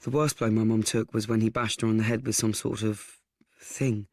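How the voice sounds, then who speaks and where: An actor as a frank young nephew, dry sound. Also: male voice, on the low side, level tone, darkish tone, no echo, natural voice. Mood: frank, wistful. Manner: halting, repeats himself, conversational asides. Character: young nephew frankly recalling his troubled family, self-mocking. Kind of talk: confiding first-person radio play monologue.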